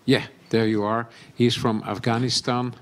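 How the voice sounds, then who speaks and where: A man's voice: medium pitch, level tone, normal speed, a little hiss or hum. A man whose first language is Dutch, hosting a stage event and interviewing guests in English with friendly prompting questions.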